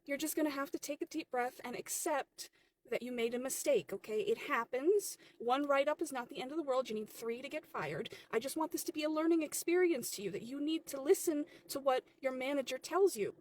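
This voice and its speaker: A woman playing a stern, condescending female general manager in a comedy skit, officious and measured. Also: high-pitched, darkish tone, close to an everyday voice.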